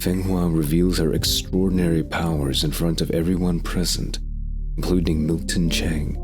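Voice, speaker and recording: A man delivering scripted narration that recaps an audio drama story. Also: extremely low pitch. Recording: music under the voice. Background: music.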